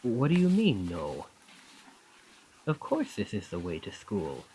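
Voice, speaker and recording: Man acting a slow, coaxing, possessive young charmer. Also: lowish voice, huge pitch swings, warm tone, a little hiss or hum, full character voice, poor audio. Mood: insistent, eerie. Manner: coaxing, intimate, unhurried. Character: charming young male college student turning possessive, otherworldly captor. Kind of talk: ASMR roleplay acting.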